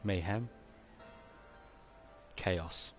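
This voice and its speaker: A man's voice: deep voice, drawn-out words, soft background music. A man chatting casually as he streams a video game, in a rambling, laid-back way. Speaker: gaming streamer. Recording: faint background noise.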